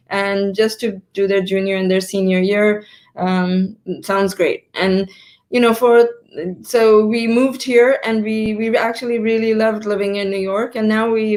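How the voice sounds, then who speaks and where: Woman in her fifties, from Pakistan, interview guest, thoughtful, rephrases as she goes, video call. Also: mid-range voice. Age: 50s. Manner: thoughtful, stops to rephrase mid-sentence. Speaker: guest entrepreneur. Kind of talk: live interview answers.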